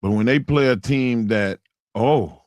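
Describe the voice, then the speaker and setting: A man co-hosting sports talk radio with a hoarse voice, speaking in halting bursts broken by fillers and restarts. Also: medium pitch, darkish tone, dry recording. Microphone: studio broadcast mic.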